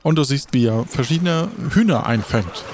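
A man's voice: medium pitch, animated, moderate pace, background noise. A man running an improvised role-playing game, switching between casual narration and character dialogue. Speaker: game master.

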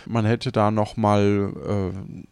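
A man's voice: lowish voice, little pitch movement, takes it slowly, a little mellow, clean tone, no room sound. A man hosting a podcast, chatting casually and informally. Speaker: podcast host. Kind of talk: casual podcast chat about an improvised audio adventure.

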